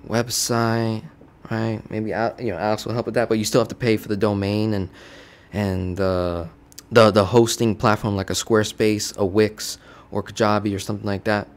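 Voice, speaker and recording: Young male finance coach, lowish voice, conversational teaching on a studio mic. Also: little pitch movement, normal speed. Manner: casual, conversational, keeps asking "right?". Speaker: finance educator. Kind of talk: whiteboard finance lesson. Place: home studio with lights. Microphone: boom-arm studio mic.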